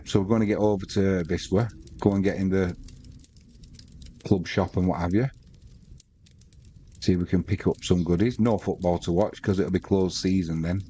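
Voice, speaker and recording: Man, Manx accent, low mellow voice, rambling live sports chat. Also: a little hiss or hum. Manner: rambling, chatty, reads out viewer comments. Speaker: live stream sports host. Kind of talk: casual live match commentary and chat.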